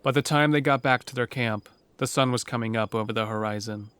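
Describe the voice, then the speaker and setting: A man's voice: mid-range voice, a little fast, fairly bright tone, clear, resonant tone, no room sound. A man reading scripted narration for a horror audio drama, as a storyteller. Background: music under the narration.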